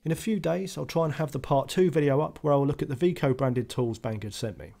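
A man's voice: a little high, fairly quick, vocal fry, warm tone. A man giving a scripted voice-over tool review, matter-of-fact with dry, understated asides.

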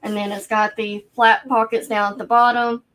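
A woman in her thirties co-hosting a live online clothing auction from a cluttered room at home, calling bids briskly and describing items plainly, recorded on a webcam at her desk. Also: a little high, flat delivery, a bit bright.

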